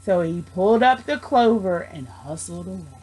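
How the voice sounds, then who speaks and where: A young woman reading a children's storybook aloud in an expressive storytelling style. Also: lowish voice, normal speed, breathy edge, low-quality recording. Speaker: storybook reader, education student.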